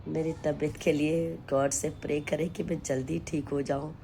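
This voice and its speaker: A hoarse woman with a sore throat, chatting to her followers from home in a weary, sighing way. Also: low-pitched, little pitch movement, dark tone, a little hiss or hum. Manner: slow, weary, sighing between lines. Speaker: vlogger and home tailor. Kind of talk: casual chat to camera. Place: at home, taking steam.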